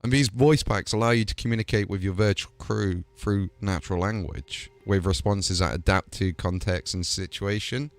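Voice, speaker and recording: A man's voice: fairly low pitch, moderate pace, hardly any pauses, no echo. A man hosting a product showcase, hyped and rambling, with filler words. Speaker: host.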